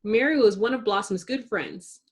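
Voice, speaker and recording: A woman reading a children's story aloud in an expressive narrating style, with dramatic emphasis for suspense. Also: medium pitch, normal speed. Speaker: storybook reader.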